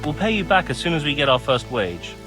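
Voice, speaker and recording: A man's voice: a little high, very animated, fairly quick, over music. A man playing a penniless, apologetic and evasive idler in a video game, speaking in a placating way. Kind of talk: video game cutscene acting.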